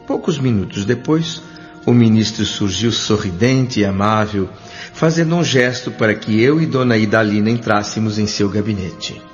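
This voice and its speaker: A man's voice: fairly low pitch, natural voice. An actor with a Brazilian accent playing an earnest, humble male doctor in an audio drama, speaking formally and politely. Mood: calm narrating. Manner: formal, polite, measured diction. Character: André, earnest humble male doctor spirit, newly arrived. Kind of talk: audio drama narration and dialogue. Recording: dull lo-fi audio with music bed.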